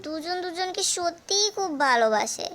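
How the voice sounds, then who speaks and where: A woman voicing a clever mother goat in a cartoon fable, glib and coaxing as she flatters and persuades. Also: very high-pitched, moderate pace. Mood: sly flattery. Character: clever mother goat, sly flatterer protecting her kid. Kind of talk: animated fable character acting.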